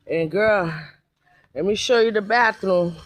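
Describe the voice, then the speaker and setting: A woman's voice: medium pitch, dry recording. A woman giving a casual, chatty tour of where she lives. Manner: slow, chatty, calling viewer girl.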